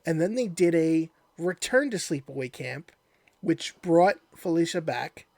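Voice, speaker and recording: Slightly high-voiced man, dry close sound. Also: expressive, a little slow, vocal fry, a little mellow, dry recording. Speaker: podcast host. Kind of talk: casual interview chat.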